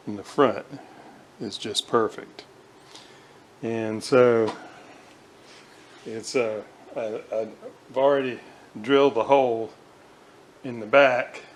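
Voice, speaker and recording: An older man giving an unscripted hands-on workshop demonstration, talking haltingly and pausing mid-sentence. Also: mid-range voice. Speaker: hobbyist restorer demonstrating a repair. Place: indoors at a home kitchen counter. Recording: noisy home video, room ambience.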